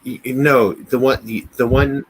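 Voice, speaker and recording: A man's voice: medium pitch, steady tone, a little slow, a bit bright, slight background noise, rough recording. An American man co-hosting a show in casual chat, talking in a hesitant, rambling, conversational way. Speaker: co-host of a business show.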